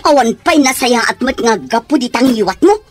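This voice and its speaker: A woman's voice: fairly high pitch, lively intonation, normal speed, faint music behind, close to an everyday voice. A woman playing a nagging, scornful working wife in a radio comedy drama.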